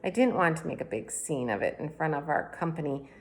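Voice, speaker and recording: A woman reading a children's book aloud in a plain, even storytelling manner. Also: low-pitched, fairly quick. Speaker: reader.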